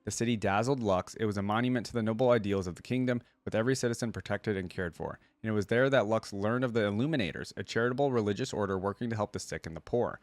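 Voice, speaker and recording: A man's voice: on the low side, fast, few pauses, no echo. A man hosting a reaction video, reading fantasy lore aloud and adding casual commentary.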